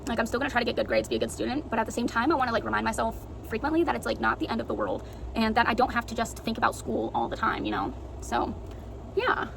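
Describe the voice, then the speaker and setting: Chatty raspy young woman, gravelly voice. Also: a little high, animated, quick pace. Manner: chatty, full of "like" fillers. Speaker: vlogger. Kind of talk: casual chat. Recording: noisy home recording.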